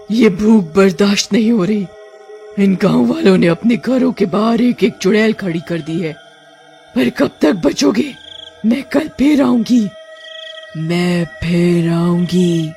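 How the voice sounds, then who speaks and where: Hungry, menacing village witch for a cartoon horror tale, acted by a woman; drawn-out calls, music underneath. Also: mid-range voice, warm tone. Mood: frustrated, menacing.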